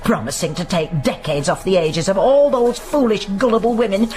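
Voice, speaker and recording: An actress playing a sharp, suspicious landlady who talks in an animated, indignant, gossipy way. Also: medium pitch, normal speed, faint music behind, close to an everyday voice. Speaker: narrator and landlady. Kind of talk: audio drama acting.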